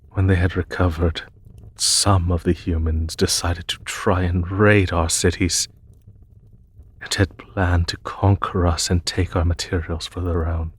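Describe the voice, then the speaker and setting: Male actor as demon emperor, low-pitched, unhurried, husky, courteous, formal, war-weary, intimate fantasy audio roleplay. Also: full character voice. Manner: formal, measured, gently spoken.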